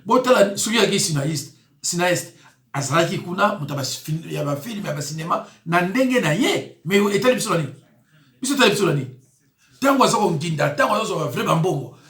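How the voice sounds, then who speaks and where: A man's voice: high-pitched, lively intonation, brisk, fairly bright tone, roomy sound. A man, a pastor giving emphatic, indignant commentary on news clips. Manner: emphatic, indignant, with rising exclamations.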